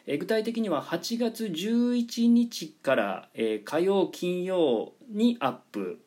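A man's voice: high-pitched, expressive, slow, a little mellow. A man presenting a project announcement in a polite, explanatory manner, stressing key words. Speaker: presenter and organiser of a radio drama project.

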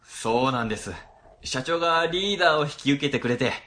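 An actor playing a chatty, easygoing government office boss in a Japanese radio drama, casual and explanatory. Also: male voice, fairly high pitch, a little fast, bright, forceful tone. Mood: breezy, talkative.

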